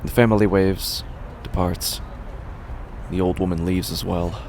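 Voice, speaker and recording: A man's voice: low voice, flat delivery, unhurried, creaky, darkish tone. A man playing a weary, resigned street musician, narrating a horror audio drama in a brooding, understated way. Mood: bitter, resigned. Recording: faint background noise.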